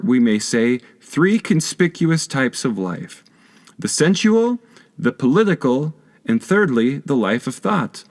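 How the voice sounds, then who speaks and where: A man reading a philosophy text aloud as an audiobook narrator, in formal, deliberate lecturing phrasing. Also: a little high. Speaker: audiobook reader.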